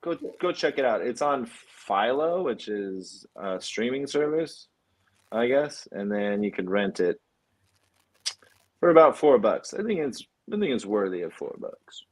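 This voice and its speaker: A man co-hosting a casual film chat, talking in an informal, opinionated and halting way. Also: medium pitch, big pitch swings. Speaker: podcast co-host.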